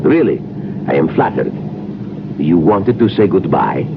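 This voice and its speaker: Smug, powerful crime boss for vintage radio thriller: gravelly, courtly, swooping delivery, mockingly polite menace. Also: male voice, low voice, very animated, a little slow, heavily acted. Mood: smugly menacing. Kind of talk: 1970s radio drama acting. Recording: noisy, muffled 1970s radio recording.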